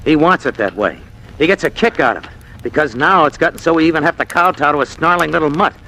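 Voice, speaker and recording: An actor playing a bitter, put-upon husband in a 1940s radio drama, with a sardonic, exasperated manner. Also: male voice, a little high, expressive, quick pace, bright, forceful tone. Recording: noisy vintage radio recording.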